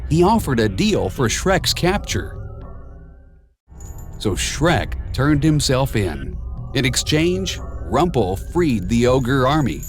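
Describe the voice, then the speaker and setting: A man reading a children's storybook aloud in a measured, expressive storytelling manner, with clear and simple phrasing. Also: mid-range voice, faint music behind. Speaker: storybook narrator. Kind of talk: scripted children's read-along storybook narration.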